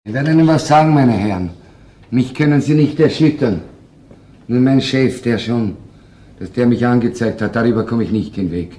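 A man's voice: mid-range voice, normal speed, mellow, close to an everyday voice. Radio crime play: male actor as a self-pitying Viennese petty thief, mid-range voice, evasive, plaintive and indignant. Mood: aggrieved. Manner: plaintive, indignant protesting in colloquial dialect. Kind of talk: radio crime drama acting.

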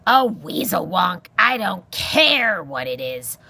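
A woman's voice: fairly low pitch, sing-song, slow pace, creaky, pushed and bright, slightly rough, no echo, full character voice. A woman voicing a grumpy old male porcupine in a storybook, barking scornful insults in a growling bluster.

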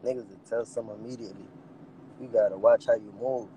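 A young man hosting a casual livestream from inside a car, talking to his phone at arm's length, animated, dropping low and then jumping up high. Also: quick pace, slight background noise, rough recording. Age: young adult. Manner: animated, swings between low mutters and high exclamations.

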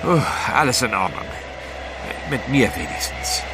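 Earnest gentleman adventurer, highly animated. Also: measured pace, over music, low-quality recording. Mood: relieved. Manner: formal, grave, dramatic. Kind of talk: audio drama acting.

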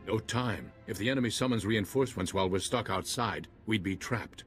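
An actor playing a grave, commanding Jedi commander in a video game, measured and serious. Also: male voice, fairly low pitch, a bit bright, natural voice. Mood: composed, serious. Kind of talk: video game cutscene acting. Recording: music behind the voice.

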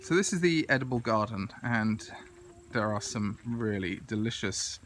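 Croaky British dad, 40s, bright voice, chatty selfie vlog outdoors. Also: male voice, on the low side, lively intonation, moderate pace, slight background noise. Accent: British English. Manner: chatty, thinking aloud with many fillers. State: croaky with a cold. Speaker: family vlogger. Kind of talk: casual vlog to camera. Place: outdoors in a large garden and meadow. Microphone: phone camera held at arm's length.

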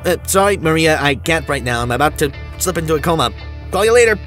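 A man's voice: on the high side, expressive, fast talker, music in the background, lightly acted. A man acting an emotional, pleading young man in an amateur audio drama, desperate for his missing brother. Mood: flustered. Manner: emotional, pleading, sighing delivery.

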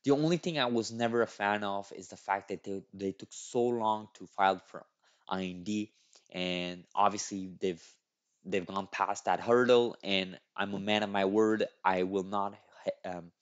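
A man's voice: lowish voice, steady tone, moderate pace, smooth. A young man, not a native English speaker, reading and commenting on a thread as a channel host, restarting words and adding tag questions. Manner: repeats and restarts words, many "right?" tags. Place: small room at a desk. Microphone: headset. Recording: dull lo-fi audio.

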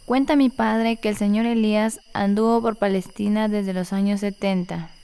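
Woman narrator, smooth and crisp voice, mellow tone, scripted radio storytelling. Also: medium pitch, steady tone, moderate pace.

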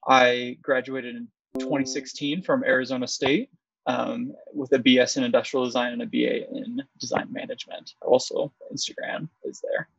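A man, a senior industrial designer, briefly introducing himself in a plain way with "um" pauses over dry, muffled video-call audio. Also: medium pitch, little pitch movement, vocal fry, fairly bright tone, breathy edge, no room sound. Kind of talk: casual self-introduction.

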